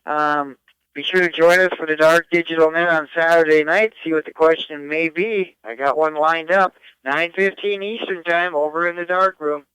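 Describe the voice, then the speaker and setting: A man's voice: fairly high pitch, steady tone, moderate pace, fairly bright tone, clear, resonant tone, no room sound, poor audio. An older man casually checking in over amateur radio. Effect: amateur radio transmission. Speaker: ham radio net participant.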